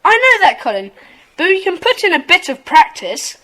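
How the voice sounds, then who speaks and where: Keen schoolboy leader for a radio play, high lively voice, brisk and insistent. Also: female voice, heavily acted, rough recording. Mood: earnest. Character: earnest, bossy schoolboy club leader. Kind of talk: children's audio drama acting.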